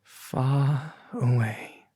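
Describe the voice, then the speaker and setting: A man voices a gentle, sorrowful statue of a prince in a storybook tale. He speaks slowly and haltingly, with a mellow tone fading into breath. The sound is intimate and dry. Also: medium pitch, husky, no echo. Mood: sad, tender. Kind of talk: soft storybook character acting.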